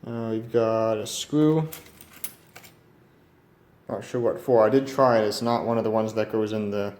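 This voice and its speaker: Low-voiced man musing in an echoey room. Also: fairly even intonation, darkish tone. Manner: musing aloud, tentative and halting. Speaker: radio repair hobbyist presenting. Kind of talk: unscripted hands-on walkthrough. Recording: roomy echo, background noise, varying distance.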